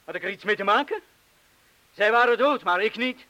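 Blunt bomb disposal man, radio drama, high voice, swinging intonation, terse, haunted. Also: lively intonation, a little fast, pushed and bright, low-quality recording. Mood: brooding, blunt.

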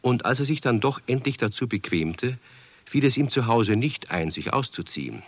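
A man narrating a children's radio play as a calm, wry storyteller. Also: mid-range voice, moderate pace, no room sound.

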